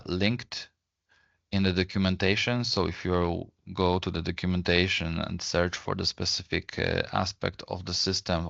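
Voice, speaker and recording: A man explaining things in a webinar as a company presenter, in a halting way, often repeating and rephrasing his words. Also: deep voice, gravelly, fairly bright tone, clean tone, no room sound, muffled recording.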